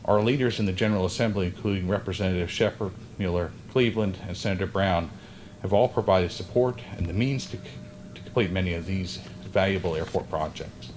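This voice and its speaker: A man's voice: deep voice, fairly quick, a little mellow, a little hiss or hum, low-quality recording. A man who is an airport official reads prepared ceremony remarks at a podium outdoors, working through a list of thanks with occasional slips. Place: outdoor groundbreaking site. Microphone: podium microphone.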